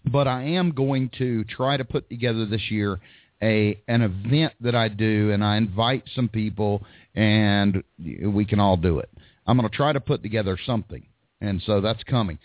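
A man's voice: on the low side, moderate pace, dry recording. A man hosting a call-in radio show, chatting casually with frequent ums and laughs. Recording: dry, tinny phone-like line.

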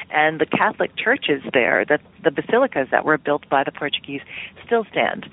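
A woman's voice: deep voice, no room sound. A woman television producer talking casually as a radio interview guest, chatty and hedging as she thinks aloud. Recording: tinny phone line.

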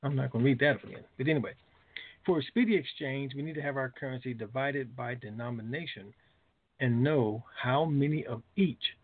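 Man, conference call host, gravelly voice, husky edge, informal delivery, phone-quality audio. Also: mid-range voice. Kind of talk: informal announcements and call-in answers.

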